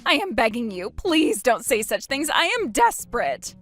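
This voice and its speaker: Woman putting on a shrill pleading sister-in-law. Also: sing-song, moderate pace, fairly bright tone. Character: desperate pleading sister-in-law, whiny and self-pitying.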